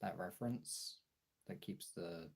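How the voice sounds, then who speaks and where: A man chatting casually as a streamer, thinking aloud haltingly as he searches for words and trails off. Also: low voice, vocal fry, a little mellow.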